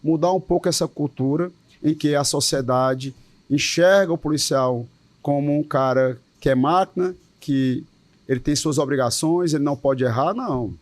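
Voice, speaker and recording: Homem de voz aguda, enfático e sério. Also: male voice, fairly high pitch, normal speed, no room sound. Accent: Cearense Portuguese. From Ceará, Brazil. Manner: earnest, emphatic, with repeated words. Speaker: police association president. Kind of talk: interview answers.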